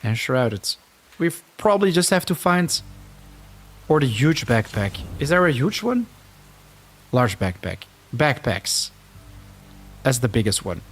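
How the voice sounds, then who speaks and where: A man with a Dutch-Flemish accent gives live game commentary, talking in a lively, swinging voice at a middle pitch. He reacts to the action on screen and answers viewer comments as he plays. Soft music plays behind him. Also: a little slow, pauses often. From Netherlands. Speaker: streamer.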